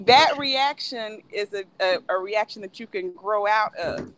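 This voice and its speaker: A woman's voice: medium pitch, animated, measured pace, background noise. A woman chatting casually and telling stories, acting out the people she quotes. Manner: storytelling, acts out quoted voices. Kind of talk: casual chat.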